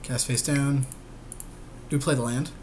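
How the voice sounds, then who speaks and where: A man with a medium-pitched voice makes casual game chat. He sounds laid-back and agreeable, using slangy everyday words over faint background noise. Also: steady tone, unhurried, a little mellow. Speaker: podcast co-host playing a card game.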